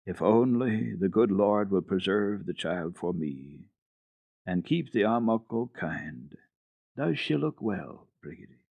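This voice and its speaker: A man's voice: on the low side, animated, takes it slowly, vocal fry, no room sound, full character voice. A man voicing a frail old blind grandmother, exclaiming and trembling with anxiety and joy in a storybook reading. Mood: tender, pleading. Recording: dull archival radio tape.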